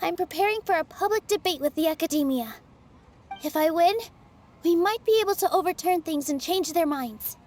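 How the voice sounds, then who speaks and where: An actress playing a gentle, earnest young theater dancer with a soft, polite manner. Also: female voice, extremely high pitch, normal speed. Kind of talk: video game voice acting.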